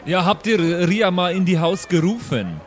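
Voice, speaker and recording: A man's voice: high-pitched, sing-song, normal speed. A man improvising casually as a player in a tabletop role-playing game. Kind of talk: casual improvised in-character play. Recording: clear background noise.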